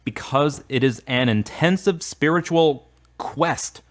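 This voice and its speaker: A man's voice: fairly high pitch, takes it slowly. An actor playing a frustrated, earnest man in audio drama dialogue, speaking in formal, carefully worded phrases. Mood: defensive, heated. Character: frustrated, earnest man in a strained romance, newly religious.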